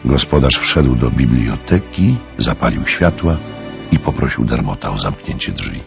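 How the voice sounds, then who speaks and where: An extremely deep male narrator tells a radio play story. His voice is gravelly with wide, expressive swings, over faint music. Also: moderate pace, full character voice. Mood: storytelling. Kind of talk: scripted radio drama narration. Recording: dull, muffled recording.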